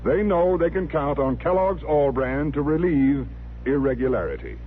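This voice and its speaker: Old timey radio ad man, really animated pitch, polished, tinny narrow sound. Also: moderate pace. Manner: emphatic, polished salesman's delivery. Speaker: commercial announcer. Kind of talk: 1950s radio advertising read, scripted.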